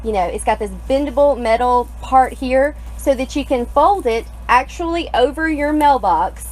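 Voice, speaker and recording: Woman, young adult, slightly hoarse, upbeat, fairly high-pitched, faint background noise. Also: normal speed. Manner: upbeat, greets viewers by name. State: slightly hoarse, losing her voice. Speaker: co-host, craft designer. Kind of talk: live DIY craft tutorial. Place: indoor craft studio.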